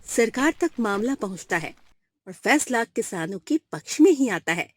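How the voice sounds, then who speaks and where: A woman's voice: on the high side, sing-song, normal speed. A woman narrating a scripted moral story for a cartoon. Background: music.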